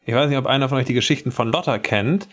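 A man's voice: mid-range voice, very fast, a bit bright. A man talking casually as a panelist in a podcast conversation.

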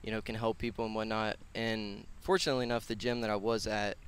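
A man's voice: lowish voice, flat delivery, quick pace, clean tone. A man in his twenties talking casually and earnestly as an interview guest, rambling and slangy, on a headset mic outdoors. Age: 20s. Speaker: podcast guest, personal trainer and gym owner. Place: outdoors on a concrete patio.

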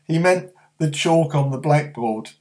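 Little storybook sister, giggly and teasing, high voice, put on by a male reader. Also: lively intonation, unhurried, a little mellow. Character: giggly young girl, teasing.

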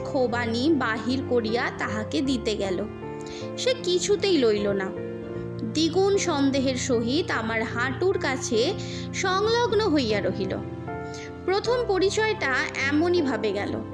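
A woman narrating a literary story in a measured, expressive audiobook reading. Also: high voice. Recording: music bed under the voice. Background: background music.

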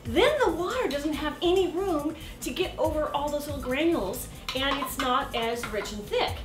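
A woman's voice: high-pitched, animated, moderate pace, echoey room, far from the mic, faint music behind. A woman hosting a cooking show, showing a guest how to cook in her home kitchen, chatty and playful, laughing often and adding sound effects. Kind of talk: casual cooking demonstration.

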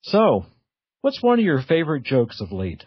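A man's voice: on the high side, wildly swooping pitch, normal speed, a little hiss or hum. A man from the United States hosting a radio show, introducing and interviewing a guest. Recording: slightly dull, lo-fi audio.

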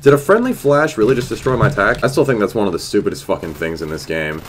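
Young male gaming vlogger with a head cold: nasal, low-pitched, fast and exasperated, recorded on a headset at home. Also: lively intonation. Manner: profane, exasperated rants. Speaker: gaming YouTuber. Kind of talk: casual commentary over gameplay. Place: small bedroom at a desk.

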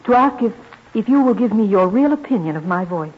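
A woman's voice: mid-range voice, lively intonation, fairly quick, slightly rough. A woman playing a young American prima donna in a radio drama, modest, proud and earnest, speaking politely and formally. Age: young adult. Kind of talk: old-time radio drama acting. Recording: muffled vintage radio broadcast.

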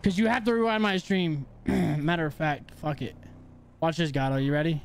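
A man's voice: fairly high pitch, moderate pace, gravelly. A young man streaming a roleplay game, chatting crudely with his chat and friends in slang. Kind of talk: casual livestream chat.

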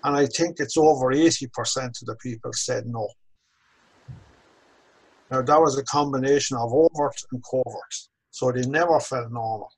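A man in his sixties with an Irish accent, a course instructor telling his own story slowly and reflectively on a video call from a home office. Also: mid-range voice, slightly rough, poor audio. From Ireland. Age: 60s. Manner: measured, reflective, slows down on key phrases. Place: home office with bookshelves, indoors. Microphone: video call webcam.

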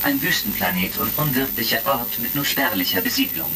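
An actor playing a literal-minded, formal robot sidekick in a German sci-fi audio drama, announcing each statement with stilted precision. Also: male voice, fairly low pitch, lively intonation, brisk. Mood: formal, factual. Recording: retro crackly audio drama recording with music.

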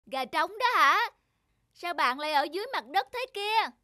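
A woman voicing a kind, eager, friendly sun in an animated fairy tale. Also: very high-pitched, normal speed, no room sound, studio quality, heavily acted. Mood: surprised. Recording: loud music behind the voice.

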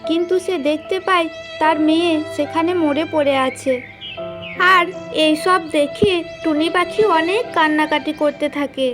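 A woman's voice: high voice, steady tone, moderate pace, music in the background. A woman storyteller reading scripted narration for children's cartoon tales.

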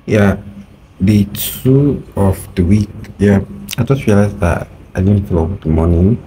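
Soft-spoken deep-voiced young man. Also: takes it slowly, noisy background. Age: late teens. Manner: soft-spoken, low-key and rambling. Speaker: vlogger. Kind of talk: casual vlog diary. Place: outdoors by a brick wall. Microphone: phone held at arm's length.

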